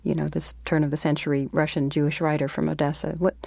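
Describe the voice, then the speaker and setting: A woman's voice: low-pitched, little pitch movement, fast, creaky, a little mellow, no room sound, studio quality. A woman hosting a literary podcast, introducing authors and interviewing them as a fiction editor.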